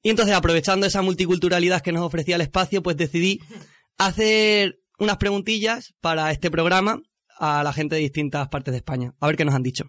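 A man's voice: sits high, fairly even intonation, fairly quick, a bit bright, no room sound. A man with a Spanish accent from Spain, chatting casually as a reporter on a radio talk show. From Extremadura, Spain.

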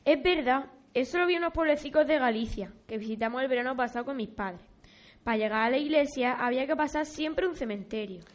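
A woman playing a cheerful, inquisitive young granddaughter, chatting and gently teasing in a community radio play. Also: high voice, fast, lightly acted. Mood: curious. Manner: eager, inquisitive, affectionately teasing.

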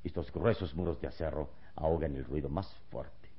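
Calm, wise adventure hero in vintage radio drama; very low voice, deliberate delivery, dull lo-fi recording. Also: male voice. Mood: calm, reasoning. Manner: calm, deliberate, reassuring elder to a boy. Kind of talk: vintage Spanish-language radio serial acting.

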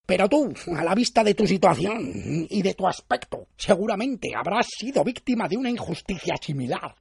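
Man putting on a creaky, high-pitched bitter Roman tribune. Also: sing-song, normal speed, darkish tone, dry recording, clean studio sound, heavily acted. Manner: aggrieved, declamatory. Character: bitter, self-important Roman tribune, scheming turncoat.

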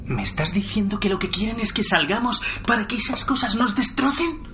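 A man acts out an impulsive young male survivor in a zombie radio drama. His voice is bright and forceful, with wide swings in pitch. He sounds heated and confrontational, over faint ambient noise. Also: expressive, fairly quick, exaggerated voice. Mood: frustrated, worried. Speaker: actor in an audio drama. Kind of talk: dramatic radio serial acting.

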